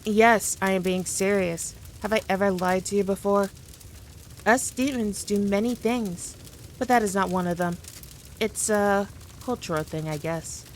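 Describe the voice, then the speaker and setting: A woman acts out a proud, playful demon queen in an audio roleplay. She speaks straight to the listener with condescending, teasing authority, at a middling female pitch, over faint background noise. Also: measured pace, close to an everyday voice. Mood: proud, matter-of-fact. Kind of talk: scripted roleplay monologue addressed to the listener.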